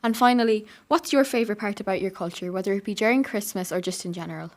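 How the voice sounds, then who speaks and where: A woman's voice: mid-range voice, fast talker, darkish tone. A teenage young woman hosting an interview show with prepared questions. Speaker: show host.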